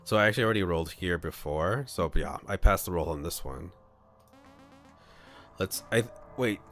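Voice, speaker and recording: A man's voice: sits low, normal speed, a bit bright, soft background music. A man reading a visual novel aloud on a livestream, moving between narration and casual commentary.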